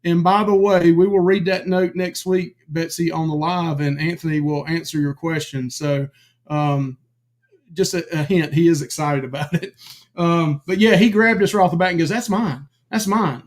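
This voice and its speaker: A man with a high-pitched voice hosts a live stream and chats loosely with his viewers. He calls out names, says "um" and "uh" often, and sounds a little hoarse because his voice is giving out. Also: moderate pace. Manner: chatty, greets viewers by name, many fillers. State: losing his voice. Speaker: livestream co-host. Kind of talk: casual live-stream chat.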